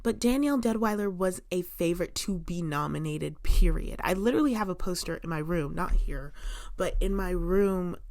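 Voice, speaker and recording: A young woman chatting casually to camera as a vlogger at home, expressive, with lots of "like" fillers and sudden bursts of emphasis. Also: fairly low pitch, normal speed, no room sound, studio quality. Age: young adult. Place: room in her home.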